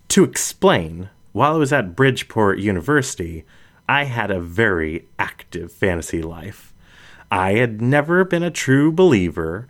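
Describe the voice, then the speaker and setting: A man reading a scripted first-person story aloud as an audiobook narrator. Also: fairly low pitch.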